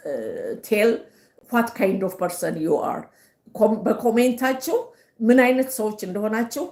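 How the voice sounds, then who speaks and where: An older Ethiopian woman with an Ethiopian accent, hosting a casual livestream. Her first language is Amharic. She speaks halting English full of fillers and slips quickly into Amharic. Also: a little high, darkish tone. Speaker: livestream host. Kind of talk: casual live-stream monologue. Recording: roomy echo.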